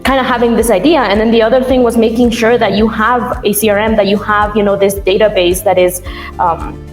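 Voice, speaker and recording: A woman's voice: medium pitch, steady tone, brisk. Young woman from Ecuador, echoey room. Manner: informal, chatty. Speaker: interview guest, CRM manager. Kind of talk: casual interview answers. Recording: echoey room, music behind.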